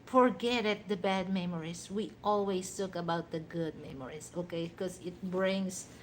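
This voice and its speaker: A woman's voice: on the low side, steady tone, normal speed, a little hiss or hum. A Filipino woman hosting a livestream, chatting casually and rambling with many "you know" fillers. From Philippines. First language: a Philippine language other than Tagalog. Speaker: livestream host.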